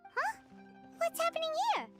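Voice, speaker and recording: A woman voicing a small childlike fairy companion in a video game, chirpy, blunt and excitable, talking about herself in the third person. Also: extremely high pitch, moderate pace, full character voice. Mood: startled. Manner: refers to self in third person, exclamatory. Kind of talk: video game character acting. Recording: music playing behind the voice.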